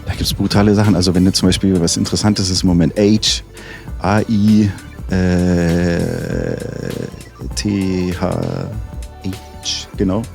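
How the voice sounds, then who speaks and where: A man's voice: deep voice, little pitch movement, slow, vocal fry, a little mellow, faint music behind. A man as a rapper interview guest, chatting casually and enthusiastically with slang and frequent restarts. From Munich.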